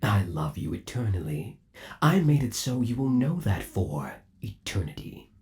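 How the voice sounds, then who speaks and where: A man acting as an obsessively devoted undead animatronic lover in an ASMR roleplay. His low voice moves slowly and swings widely in pitch. He coaxes theatrically, savoring every endearment with eerie tenderness. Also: big pitch swings, a little mellow. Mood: soothing, obsessive. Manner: theatrical, coaxing, lingering on endearments.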